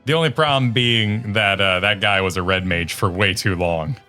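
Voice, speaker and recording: A man with a slightly low voice talks as co-host of a gaming show. His commentary is casual and conversational, reacting to what he watches. Music plays faintly underneath. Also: normal speed, pushed and bright. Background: music behind the voice.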